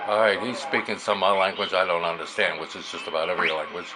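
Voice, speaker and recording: Lowish voiced guy thinking out loud while showing how his radio gear works, casual, pretty noisy background. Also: flat delivery, fast. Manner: explanatory, thinking aloud while working. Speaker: amateur radio hobbyist demonstrating a receiver. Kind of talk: casual unscripted hands-on demonstration. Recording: clear background noise, radio static. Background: shortwave radio stations and static.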